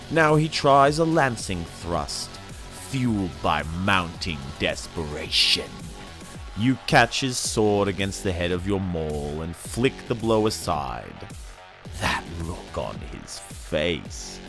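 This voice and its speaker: A man reading a dramatic second-person story excerpt in a grave, theatrical, deliberate manner. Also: fairly low pitch, a bit bright. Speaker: narrator reading a novel excerpt. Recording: dramatic music under the voice. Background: cinematic soundtrack music.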